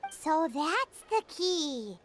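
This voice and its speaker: A woman voicing a small, excitable, childlike fairy companion in an anime game, bubbly and full of exclamation. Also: sits high, very slow, heavily acted. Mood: thoughtful. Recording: loud tinkling background music.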